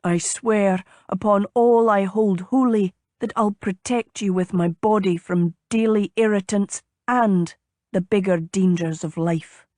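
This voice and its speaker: A woman voicing a courteous, gallant gentleman suitor in an audiobook, measured and formal in manner. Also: mid-range voice, no room sound, studio quality, lightly acted. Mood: ardent. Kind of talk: audiobook character dialogue.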